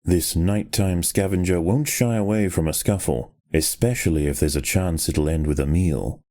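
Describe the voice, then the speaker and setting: A man narrating a strategy game in the style of a nature documentary, with a measured, grave and dramatic storytelling delivery. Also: low-pitched, mellow, close to an everyday voice.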